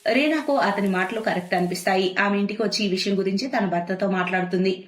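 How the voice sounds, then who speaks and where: A woman's voice: mid-range voice, fast talker, echoey room. A woman narrating a scripted moral story as a storyteller.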